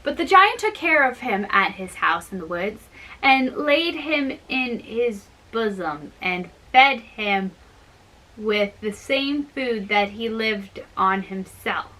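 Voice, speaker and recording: A woman storyteller reading fairytales aloud in a halting, deliberate way. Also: fairly high pitch, expressive, bright, forceful tone, rough recording.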